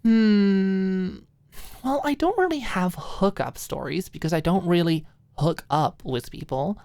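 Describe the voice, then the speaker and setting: A woman voicing a bashful, tipsy young woman, wry and gently exasperated, with a sheepish, hesitant manner in an audiobook. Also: on the low side, animated, a little slow, dry recording, voice pushed up. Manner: hesitant, sheepish, mock-scandalized.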